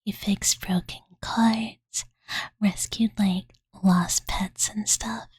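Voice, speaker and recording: A woman voicing a playful, doting young dragon girl in soft, breathy ASMR whispers, with cutesy, ditzy phrasing, close to the microphone. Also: mid-range voice, steady tone, takes it slowly, no room sound. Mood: gentle storytelling. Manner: ditzy valley-girl filler talk, cutesy third-person self-reference. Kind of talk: whispered ASMR roleplay bedtime storytelling. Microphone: close Blue Yeti condenser mic.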